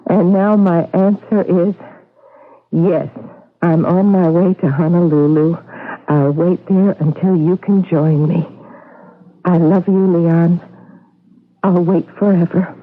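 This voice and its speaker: An actress playing an eager, curious wife with a romantic streak in an old-time radio drama. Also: female voice, fairly low pitch, steady tone, slow, long pauses. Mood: tender reading. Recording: tinny vintage radio broadcast recording.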